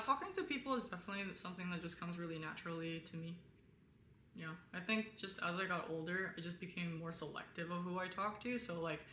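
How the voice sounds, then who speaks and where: A young woman hosting a casual live stream, chatting to viewers in a candid, rambling way with a lowish voice. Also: sharp tone. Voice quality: lower-toned voice. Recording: roomy echo, faint background noise.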